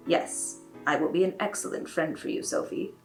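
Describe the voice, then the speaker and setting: A woman voicing a gravelly-voiced male monster who speaks in a breathy half-whisper. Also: sits low, normal speed, darkish tone, faint music behind, close to an everyday voice, rough recording. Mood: solemn. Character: gravelly male dream monster, sly and playful.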